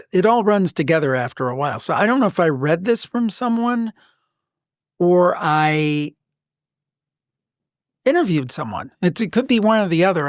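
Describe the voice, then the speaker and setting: A man with a slightly high voice, recorded very dry. He hosts a casual podcast interview, wandering through his thoughts and rephrasing himself as he asks questions. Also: dry recording. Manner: rambling, self-correcting questions.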